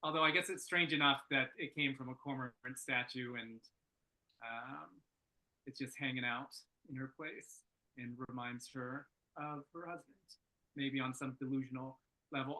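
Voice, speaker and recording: A man's voice: medium pitch, normal speed, pushed and bright, poor audio. A man giving casual feedback on a screenplay, tentatively thinking aloud. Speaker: script feedback panellist.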